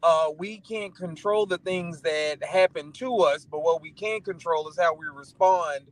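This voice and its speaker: An American man with a high-pitched voice talks as a show guest. His delivery is casual and conversational, with a motivational bent. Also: unhurried, rough recording.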